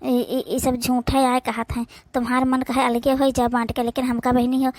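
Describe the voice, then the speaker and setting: A high, dry voice with an Awadhi accent carries this young village housewife acted by a woman. She is a wary, indignant cartoon sister-in-law, complaining bluntly and plainly. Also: flat delivery, normal speed, darkish tone, no echo, lightly acted. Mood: resentful.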